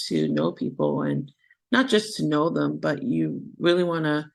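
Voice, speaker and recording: A woman's voice: deep voice, wildly swooping pitch, a little slow, vocal fry, darkish tone. A woman from the United States, a guest on a podcast, talking in an upbeat, affirming way and often checking in with "right". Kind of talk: casual interview chat.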